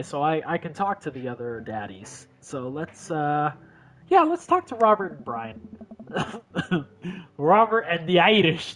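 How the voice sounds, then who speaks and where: Casting: male let's play host, lively swooping pitch, playful and informal while narrating a game. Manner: playful, giggly. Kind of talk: casual game commentary and read-aloud narration.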